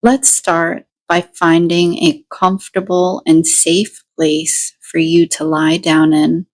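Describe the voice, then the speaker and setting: A woman leading a guided meditation, giving deliberate instructions in short phrases with pauses between them. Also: on the low side, little pitch movement, a little mellow.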